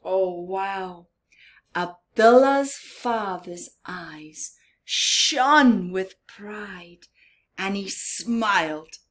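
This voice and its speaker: A woman reading a picture book aloud to young children, dramatic and expressive, with simple asides and questions to the listener. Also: mid-range voice. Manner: slow, simple child-directed phrasing. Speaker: storyteller for children.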